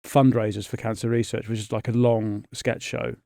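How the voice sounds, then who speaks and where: A man's voice: mid-range voice, little pitch movement, moderate pace, gravelly, warm tone, no room sound, clean studio sound. A man in his thirties, raised in Scotland and England, chatting as a comedian guest on a podcast in a rambling, self-interrupting way. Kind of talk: casual interview chat. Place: podcast studio.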